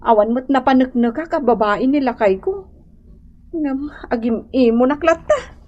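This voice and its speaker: A woman's voice: high voice, expressive, moderate pace, dark tone, dull audio. An actress with an Ilocano accent playing a chastened wife trying to mend her ways in a radio drama, speaking hesitantly. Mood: contrite.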